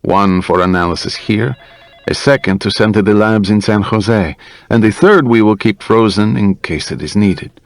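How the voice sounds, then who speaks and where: A man voicing a courteous, careful hospital doctor in an audiobook, with measured, formal phrasing. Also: lowish voice, dry recording, close to an everyday voice. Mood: calm, reassuring.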